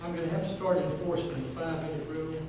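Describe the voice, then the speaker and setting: A man's voice: on the high side, fairly even intonation, racing. A man chairing a public board meeting, handling procedure in a folksy, joking way. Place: large echoey meeting hall. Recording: echoey hall, distant mic, loud hiss.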